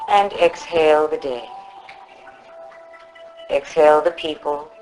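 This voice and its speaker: A woman from South Africa leading a guided meditation in a soothing, instructive manner, with long pauses between short phrases. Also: deep voice, darkish tone, faint music behind, poor audio. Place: live group meditation session at a centre.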